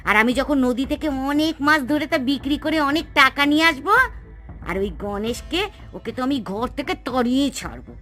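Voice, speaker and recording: A woman's voice: sits high, expressive, moderate pace, a bit bright, soft background music. A woman playing a greedy, scheming, impatient village aunt in a Bengali cartoon, with theatrical, exclamatory delivery. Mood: scheming, irritated. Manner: exclamatory, theatrical, coaxing and scolding. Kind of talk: Bengali cartoon moral-story acting.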